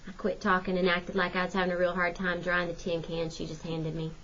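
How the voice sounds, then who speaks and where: A woman's voice: fairly low pitch, completely flat delivery, brisk, fairly bright tone, dull audio, slight background noise. A woman teacher reading a children's novel aloud as a first-person narration.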